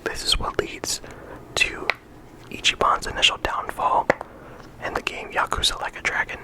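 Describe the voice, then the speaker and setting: An ASMR creator giving a whispered, unhurried character discussion, often pausing and restarting mid-sentence. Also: pushed and bright, low-quality recording. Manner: unvoiced whisper throughout.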